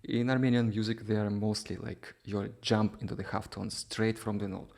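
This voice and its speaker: A man's voice: on the low side, little pitch movement, normal speed, vocal fry, darkish tone. A man, a non-native English speaker, giving an informal music lesson in a hesitant, explanatory way with little check-in questions. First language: not English. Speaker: duduk teacher. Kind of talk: informal instructional talk.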